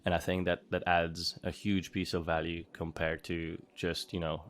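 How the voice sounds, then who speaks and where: A man's voice: deep voice, flat delivery, moderate pace, a bit bright. A man from Hungary in his thirties answering interview questions in a casual, thoughtful way, with frequent fillers and restarts. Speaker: interviewee, former bootcamp student.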